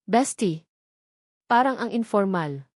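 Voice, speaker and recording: A woman's voice: mid-range voice, animated, takes it slowly, dry recording. A shy young provincial woman, played by a female narrator in a romance audio drama. She talks politely and hesitantly, recorded dry. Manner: hesitant, deferential.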